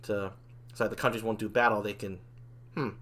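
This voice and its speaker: A man playing an excitable, panicky, foul-mouthed but chummy demon in an improvised comedy role-play, with theatrical, rambling delivery. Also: lowish voice, brisk. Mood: pensive. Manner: theatrical, rambling, exclamatory, swearing.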